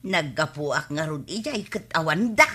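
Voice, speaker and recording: An actress with an Ilocano accent playing a sharp-tongued, nagging village wife in a radio comedy drama. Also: female voice, sits low, animated, a little slow, husky, heavily acted. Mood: complaining.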